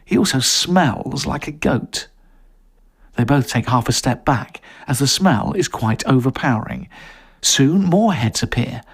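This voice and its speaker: A man's voice: mid-range voice, lively intonation, a little slow, slightly rough. A man reading a short story aloud as scripted narration.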